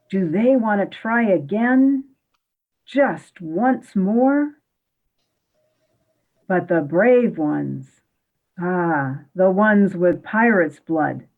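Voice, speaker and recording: Radio play narrator, female actor: mysterious and solemn, very measured pace, thin phone-like recording. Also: medium pitch. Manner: measured, dramatic, drawn-out.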